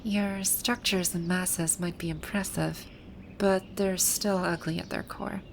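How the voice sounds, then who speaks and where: A woman playing a haughty, grouchy video game villain boss with a secretly soft side, speaking in imperious, formal grumbles in an ASMR roleplay. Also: on the low side, fairly even intonation, unhurried, creaky, a little hiss or hum. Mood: musing, grouchy. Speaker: audio roleplay voice actor.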